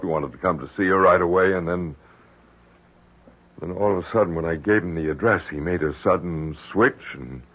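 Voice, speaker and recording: A stubborn, worried father in a vintage radio play. The voice is low and husky, halting yet insistent, and heavily muffled by the recording. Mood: baffled. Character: worried, stubborn middle-aged father of a grown daughter. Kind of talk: old-time radio drama acting.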